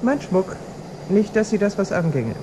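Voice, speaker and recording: A haughty, sharp-tongued female boss acted by a woman in a radio play. She is curt and commanding, cutting people short. Loud engine noise surrounds the voice. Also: mid-range voice, animated, moderate pace, lightly acted. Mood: imperious, curt. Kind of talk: radio drama dialogue. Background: loud aircraft engine.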